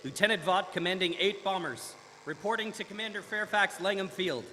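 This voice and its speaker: A man plays an army bomber lieutenant in a radio drama, radioing urgent, clipped reports. His voice is high and forceful. The live hall is reverberant, with faint noise behind him. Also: a little fast. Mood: urgent, businesslike. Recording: echoey hall with engine-like noise.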